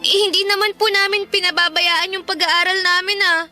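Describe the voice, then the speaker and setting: Radio drama role: stubborn Filipino teenage girl played by a woman, very high-pitched, bright and forceful. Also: fairly even intonation, a little fast, faint music behind, exaggerated voice. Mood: defiant, pleading. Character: stubborn, defiant teenage daughter, later grieving young wife.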